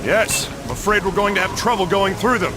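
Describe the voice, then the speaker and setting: High-voiced, dryly teasing young knight. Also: male voice, lively intonation, a little fast. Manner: dry, teasing, moralizing. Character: earnest young crusader knight, dryly teasing his friend. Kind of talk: video game dialogue acting.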